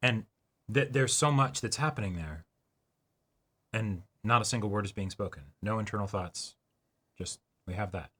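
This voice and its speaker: A man co-hosting an anime reaction show, talking casually and reflectively in halting phrases, often checking in with his listener. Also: fairly low pitch, fairly bright tone, husky. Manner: halting, reflective, checks in with "right" and "you know".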